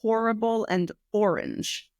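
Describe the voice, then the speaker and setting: A woman's voice: on the high side, takes it slowly, darkish tone, no echo. New york woman, english teacher, chatty and talkative, dry close sound. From New York, USA. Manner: talkative, chatty, self-deprecating asides. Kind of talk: casual conversation.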